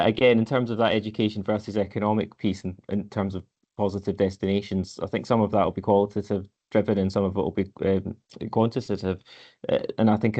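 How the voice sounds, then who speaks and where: Man, council head of service, hesitant, repeats words, low monotone voice, dull lo-fi recording. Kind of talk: answering questions at a committee meeting.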